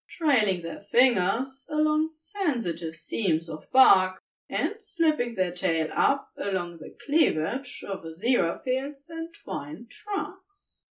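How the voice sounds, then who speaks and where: A woman reading a fiction story aloud as narrator, in an expressive scripted storytelling style. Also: medium pitch, recorded from a distance, poor audio.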